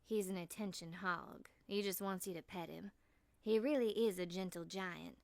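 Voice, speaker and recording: A woman voicing a friendly, easygoing woman in her thirties with a honey-smooth Southern American accent, in an audiobook story. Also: on the low side, normal speed, no echo. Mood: apologetic, folksy. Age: mid-thirties. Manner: relaxed, folksy.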